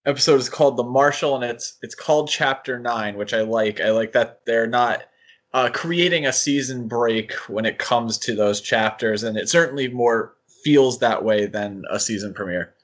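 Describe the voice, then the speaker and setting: A man co-hosting a podcast, discussing things casually in a halting, hedging way. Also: medium pitch. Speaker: podcast co-host.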